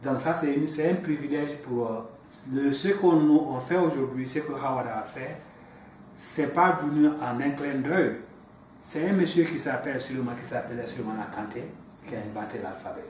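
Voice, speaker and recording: A man interviewing, speaking haltingly with many restarts, repeated words and fillers. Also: mid-range voice, darkish tone. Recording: echoey room, from far off, faint noise.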